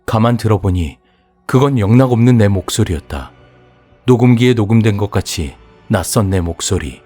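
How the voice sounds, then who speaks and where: A man reading a horror audiobook as its narrator, telling the story in a measured, even way. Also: lowish voice. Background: soft music.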